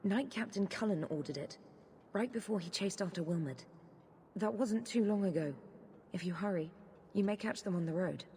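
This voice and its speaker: An actress playing a dutiful young Templar recruit, cautious and earnest, in a fantasy video game. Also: female voice, mid-range voice, moderate pace, warm tone, in a large hall, a little hiss or hum, lightly acted.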